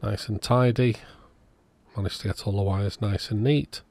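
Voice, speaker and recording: A man's voice: on the low side, normal speed, gravelly, darkish tone, no echo. A man giving a casual, matter-of-fact workbench narration as the host of an electronics repair video.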